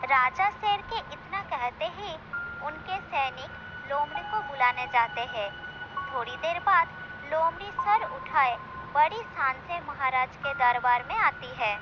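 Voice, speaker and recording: A woman's voice: sits high, expressive, unhurried, music in the background. A woman narrator reading a scripted animal story for children. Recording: dull lo-fi sound with clear noise.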